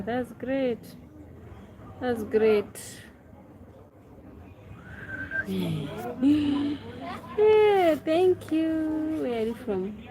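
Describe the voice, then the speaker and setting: A woman with a Kenyan accent chats casually as a live streamer, musing unhurriedly, repeating phrases and answering viewers. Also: a little high. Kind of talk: casual chat, reading and answering viewers' questions. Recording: clearly noisy background.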